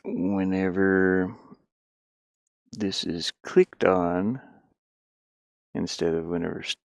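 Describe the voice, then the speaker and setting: A man casually thinking out loud in an offhand, muttering way as he works through game development on screen. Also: low-pitched, fairly even intonation, slow, vocal fry, no room sound. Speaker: indie game developer, streamer. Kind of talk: casual think-aloud screen-share commentary while working.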